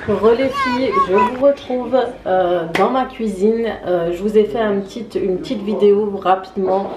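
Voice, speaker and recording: A woman's voice: mid-range voice, moderate pace, roomy sound, noisy background. A tired woman chatting casually in her home kitchen, hesitant, with many fillers. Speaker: vlogger. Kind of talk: casual vlog chat.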